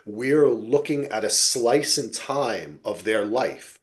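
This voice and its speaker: An American man hosts a casual podcast chat in a mid-pitched voice. His delivery swings widely up and down. He swears freely and slips into imagined bits of dialogue as he goes. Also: lively intonation, slow pace. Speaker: podcast host. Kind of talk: casual chat about television.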